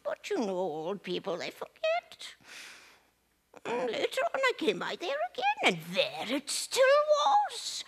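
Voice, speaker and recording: A woman's voice: high-pitched, wildly swooping pitch, unhurried, gravelly, fairly bright tone, full character voice. A woman playing an old, sick woman in a poorhouse, telling her memories in a theatrical one-woman monologue. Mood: dramatic. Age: older. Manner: dramatic storyteller, gasps and drops to whispers.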